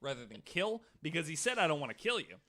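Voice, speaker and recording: A man's voice: fairly high pitch, very animated, fast, sharp tone. A man hosting a podcast, telling true-crime stories casually with profane, joking asides. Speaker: podcast host.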